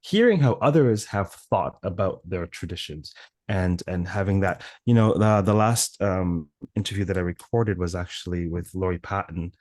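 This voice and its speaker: A very deep-voiced man hosts an academic discussion, feeling his way through sentences with fillers and restarts. His voice sounds dry and close. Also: normal speed, darkish tone, dry recording. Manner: hesitant, many fillers and restarts. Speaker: podcast host.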